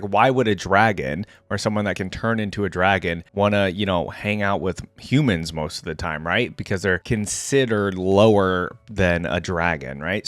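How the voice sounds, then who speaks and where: A man's voice: fairly low pitch, dry recording. A man giving casual reaction commentary, musing and repeating himself as he goes. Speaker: reaction video host.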